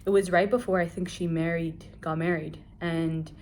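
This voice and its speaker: Young woman from Kuwait, casual book-review host, slightly low-pitched, talkative and frank, faint hum behind her. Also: fairly even intonation, normal speed, darkish tone. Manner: chatty and candid, heavy use of filler "like".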